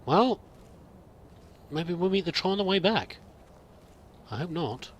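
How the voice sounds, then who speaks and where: A man's voice: sits high, expressive, a little slow, slight background noise. A man roleplaying a pilgrim in a game, musing and thinking aloud in a hesitant, wandering way. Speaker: let's play roleplayer. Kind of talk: in-character game commentary.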